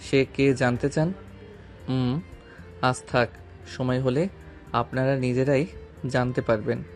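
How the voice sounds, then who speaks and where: A man acting a calm, self-assured young businessman in an audio story drama, speaking in composed, deliberate phrases. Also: medium pitch, soft background music. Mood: solemn, motivational.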